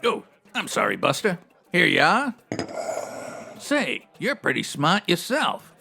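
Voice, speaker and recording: A man playing a slangy, wordy strip club manager with a raspy, theatrical delivery, in a comedic old-time radio detective style. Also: high voice, huge pitch swings, slow pace, bright, forceful tone, soft background music, heavily acted. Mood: chatty, pleased. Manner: hipster slang with florid big words, theatrical. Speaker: actor in a comedy audio drama.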